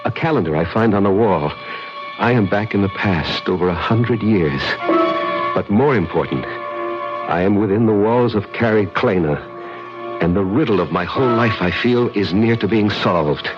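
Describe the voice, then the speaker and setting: A muffled vintage radio recording with music behind carries a man playing a brooding hero. His voice is fairly low, earnest and dramatic, like a man haunted by an old love. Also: expressive, normal speed, lightly acted. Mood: brooding, intense.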